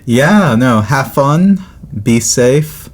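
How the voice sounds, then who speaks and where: A man hosting a casual podcast chat, informal and laid-back, speaking in a halting, conversational way. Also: mid-range voice, lively intonation. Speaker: podcast host.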